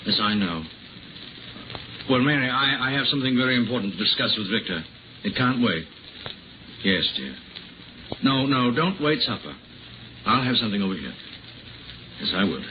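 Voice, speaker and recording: Through the hiss and narrow tone of a 1950s radio broadcast, a male actor plays a devout, troubled minister. He is grave and halting, and his lowish man's voice rises and falls widely as a morally firm friend. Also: natural voice. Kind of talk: 1950s radio drama acting. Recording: scratchy, tinny 1950s radio broadcast, noisy.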